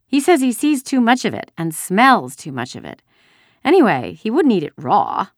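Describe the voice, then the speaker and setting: A young storybook girl, acted out by a woman, pitched high with lively swoops. She chatters pertly and sounds bold and indignant, in a close, dry recording. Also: normal speed, dry recording. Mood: chattering, giggly. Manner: silly chatter, pert and indignant. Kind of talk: audiobook character dialogue.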